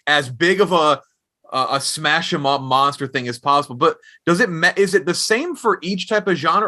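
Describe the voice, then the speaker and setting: A man's voice: mid-range voice, bright, forceful tone. An American man hosting a podcast, interviewing casually with wordy, rambling questions that often restart. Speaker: entertainment podcast host and interviewer. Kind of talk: casual, conversational film interview.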